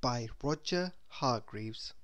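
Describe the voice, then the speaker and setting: A woman reading a children's story aloud in an expressive, deliberate manner. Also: a bass voice. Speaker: storybook reader. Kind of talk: children's read-aloud narration.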